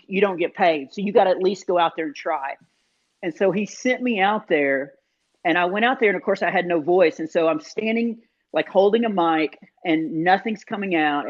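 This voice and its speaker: A man, a comedian guest in an interview, telling self-deprecating stories in an animated, casual way with many fillers. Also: high-pitched, moderate pace. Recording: dull lo-fi sound.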